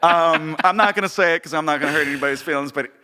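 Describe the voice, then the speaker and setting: A man's voice: a little high, racing, pushed and bright. A man co-hosting a podcast, talking casually and enthusiastically with emphatic repetition. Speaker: podcast co-host, director. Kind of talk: casual conversational podcast chat.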